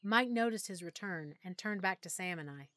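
A woman narrating an audiobook in the first person, quietly and with emotion. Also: on the low side, expressive, normal speed, no echo.

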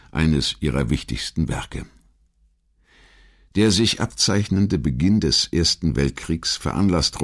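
A man reading a scripted historical documentary narration in a measured, dramatic way. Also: low voice.

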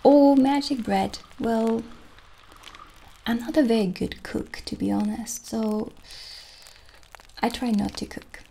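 A gentle, friendly witch , acted out by a woman, tends her magic shop in an ASMR roleplay. Her voice is fairly high yet mellow, with plenty of fry at the edges. She talks softly and soothingly, as a kindly keeper of potions would. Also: steady tone, a little hiss or hum, rough recording. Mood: welcoming. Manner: soft, unhurried, soothing. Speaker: ASMR performer. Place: small dim candlelit room, indoors. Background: soft music.

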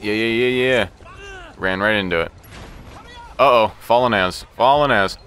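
A man hosting a casual let's play, talking to himself and the game in an excitable, profane way. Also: on the low side, measured pace. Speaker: gaming channel host.

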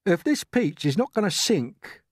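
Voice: medium pitch, very animated, a little slow, dry recording, studio quality, voice pushed up. A performer reading a storybook, voicing a gloomy, irritable blind male earthworm who complains in dramatic wails. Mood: doom-laden complaining. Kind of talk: storybook character voice.

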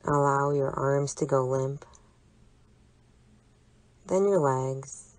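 Female meditation guide, low register, gently instructive and deliberate, in a dry, echo-free recording. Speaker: psychologist leading a relaxation exercise. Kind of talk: guided imagery meditation narration.

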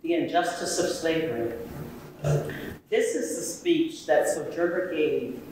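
A middle-aged woman narrates in a measured, dramatic reading style, slowly and with lively pitch. She is heard from a distant camera in a very echoey hall with faint background noise. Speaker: reader. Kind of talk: readers theater narration. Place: museum hall, indoors. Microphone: far camera.

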